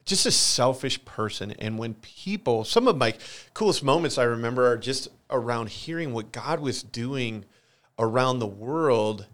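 A man's voice: medium pitch, lively intonation, a little slow, a bit bright. A man in his forties with an American accent speaks as a guest in a church conversation. He sounds earnest and open, giving personal testimony and repeating himself to drive a point home. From Michigan, United States. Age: 40s. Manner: earnest, confessional, emphatic repetition.

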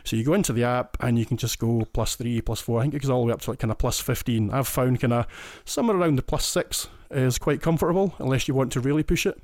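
A man's voice: medium pitch, fast talker, hardly any pauses, darkish tone, no room sound. A Scottish man talks in an easy, detailed, chatty way as a podcast guest. His voice sits in a middling register. The sound is dry and close, with no room around it. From Scotland. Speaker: guest listener. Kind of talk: casual explaining.

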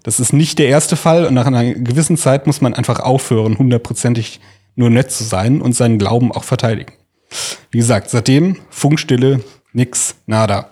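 A man's voice: medium pitch, moderate pace, dark tone, husky. A man telling a story as a podcast host in an informal, conversational way. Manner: informal, colloquial. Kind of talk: conversational storytelling, reading out quotes.

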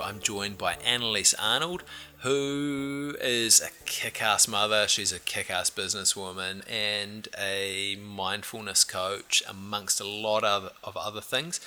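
A man's voice: lowish voice, sharp tone. A man, hosting a podcast interview and asking upbeat, friendly questions. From New Zealand. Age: 30s. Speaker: podcast host.

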